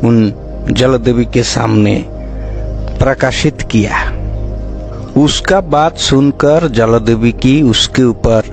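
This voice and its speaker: Man, storyteller, mid pitch, slow scripted children's narration, clear music bed. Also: darkish tone.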